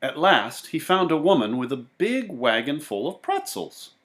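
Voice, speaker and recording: A man reading a children's story aloud in a theatrical, expressive way. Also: on the high side, unhurried, slight background noise. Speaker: storybook reader. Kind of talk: animated children's story narration.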